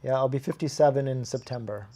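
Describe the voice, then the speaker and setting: A man's voice: mid-range voice, fast, mellow. A man in his fifties co-hosting a live stream, chatting casually and laughing easily. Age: 50s. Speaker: co-host.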